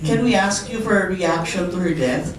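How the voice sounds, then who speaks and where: A woman's voice: on the low side, normal speed. A woman reporter asking a singer questions politely at a press conference. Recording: very echoey distant room, faint noise.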